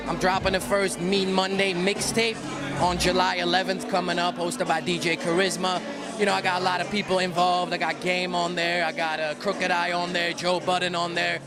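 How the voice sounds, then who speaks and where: A young man from the Netherlands talks about his rap career in a relaxed, loose way. His voice sits fairly high but stays flat. Loud music plays behind him outdoors. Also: normal speed, a crowd in the background. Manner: laid-back, says "you know what I'm saying" a lot. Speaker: hip hop artist. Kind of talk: promotional interview. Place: outdoor party.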